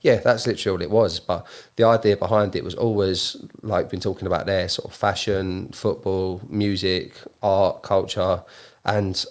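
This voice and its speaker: A man's voice: sits low, flat delivery, moderate pace. A man with a southern English accent chatting casually as an interview guest, explaining things in a self-deprecating way. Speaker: guest, clothing brand founder.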